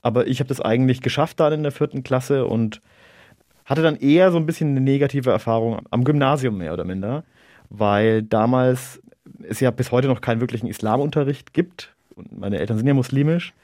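A man in his thirties, a native Arabic speaker from Germany, answering interview questions in a measured, reflective way with an occasional chuckle. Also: medium pitch, mellow, dry recording, clean studio sound. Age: 30s. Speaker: interview guest, local politician.

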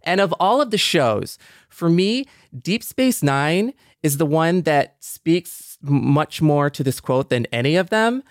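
A man's voice: a little high, animated, measured pace, no echo, studio quality. A man co-hosting a podcast, talking casually and earnestly, building his points through repetition. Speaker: podcast co-host.

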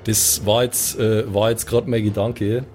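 A man's voice: fairly low pitch, flat delivery, a little slow, a little mellow, faint music behind. A man playing in a tabletop role-playing podcast, chatting casually and repeating words as he works out ideas. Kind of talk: casual improvised banter.

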